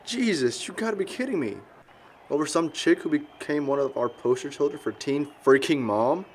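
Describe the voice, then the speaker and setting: A man's voice: a little high, animated, normal speed, close to an everyday voice. A young American man acting a blunt, cynical, slangy wrestler in conversational film dialogue. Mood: sardonic disbelief. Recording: noticeable background ambient noise.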